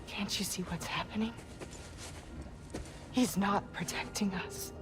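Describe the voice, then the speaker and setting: Middle-aged female voice acted, proud grieving goddess, measured intensity, video game drama, music underneath. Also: medium pitch, lightly acted. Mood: grieving, pleading. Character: proud, grieving Norse goddess, wife and mother.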